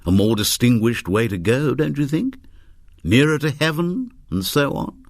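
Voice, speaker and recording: Audiobook character: man in his seventies, read by a man. Wry, peaceable moralizer, unhurried and sardonic, lilting range, dry recording. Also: medium pitch, sing-song, dry recording, pitched above the performer's own voice. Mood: sly, wry. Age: 70s.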